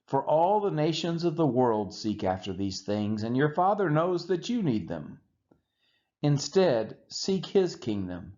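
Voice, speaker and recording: A man reads scripture aloud in an expressive, measured and emphatic way, as a Bible reader for a church read-along. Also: mid-range voice. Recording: dull, muffled lo-fi audio.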